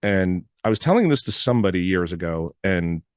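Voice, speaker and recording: Hoarse, deep-voiced male podcast host. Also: animated, fairly quick, no room sound, clean studio sound. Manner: rambling, loose, with frequent "uh" fillers. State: hoarse, froggy from recent illness. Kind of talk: casual solo podcast monologue.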